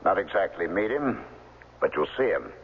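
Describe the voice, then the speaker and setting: Very deep, gravelly, formal man. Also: sing-song. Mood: formal, ominous. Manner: measured, formal, precise diction. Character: formal, discreet man showing a billionaire's secret. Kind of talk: 1970s radio drama acting. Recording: tinny 1970s radio, reverberant, faint noise.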